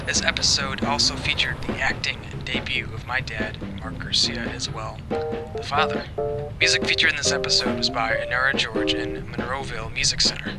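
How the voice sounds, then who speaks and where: A man's voice: medium pitch, normal speed. A man speaking as a podcast host, giving outro credits. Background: loud guitar music.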